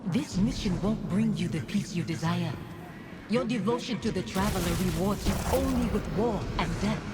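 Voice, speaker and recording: An actress playing the ghost of a grieving wife, solemn and reproachful. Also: female voice, mid-range voice, moderate pace, heavy background noise, lightly acted. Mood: mournful, accusing.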